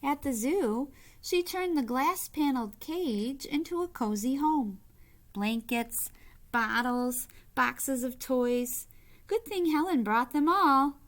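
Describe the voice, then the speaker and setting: A woman reading a children's picture book aloud like a teacher, with expressive, deliberate phrasing and short asides to young listeners. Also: high-pitched. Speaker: read-aloud storyteller, teacher-like.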